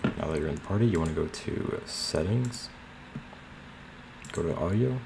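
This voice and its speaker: A man's voice: sits low, expressive, normal speed. A man narrating a casual screen tutorial in a plain, slightly hesitant way. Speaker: tutorial host. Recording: clear background noise.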